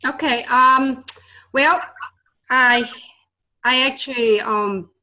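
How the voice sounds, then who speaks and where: A woman's voice: high-pitched, steady tone, slow, bright, forceful tone. Earnest woman. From born in Vietnam, raised in China. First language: Chinese and Vietnamese. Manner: earnest, with many fillers and a non-native grammar. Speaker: guest, tutor and business owner. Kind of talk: casual interview storytelling.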